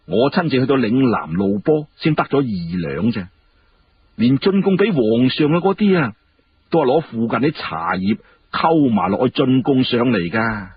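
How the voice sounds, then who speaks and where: Man as a genial, husky young court minister. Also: mid-range voice, very animated, moderate pace, dull audio. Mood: amused, explaining.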